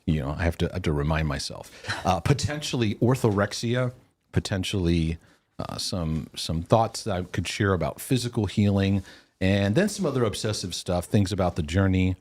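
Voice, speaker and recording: A man's voice: low voice, expressive, moderate pace, a little mellow, no echo. A middle-aged man hosting a live question-and-answer stream, congested and sneezing, talking in a rambling, self-interrupting way with laughs. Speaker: host.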